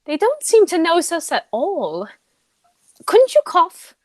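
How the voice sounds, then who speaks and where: A woman's voice: very high-pitched, wildly swooping pitch, a little slow, a little mellow, no echo, full character voice. A woman acting a haughty, dignified young society lady in a radio play comedy, speaking in a formal, declarative way.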